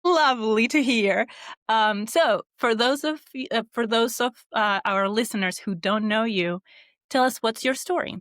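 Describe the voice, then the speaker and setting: A woman's voice: on the high side, a little slow, no room sound. Podcast hostwoman with a dry, close sound. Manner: reads a warm scripted intro, then asks questions with some fillers. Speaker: podcast host. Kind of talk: podcast interview.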